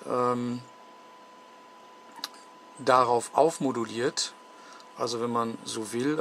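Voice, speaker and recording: A man talks through a technical demonstration in a mid-pitched voice. He speaks slowly and methodically, often pausing to find the next word. Some faint noise sits behind him, picked up at an indoor workbench. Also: fairly bright tone. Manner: halting, methodical, with frequent hesitations. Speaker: host demonstrating electronics repair. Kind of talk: unscripted technical explanation.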